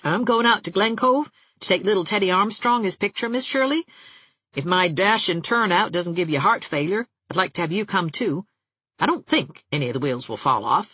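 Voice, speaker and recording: A woman's voice: on the low side, expressive, fairly quick, slightly rough, no echo, narrow, tinny audio, voice pushed up. A woman voicing a cheerful, teasing, self-mocking young man in a storybook reading. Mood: friendly, easygoing.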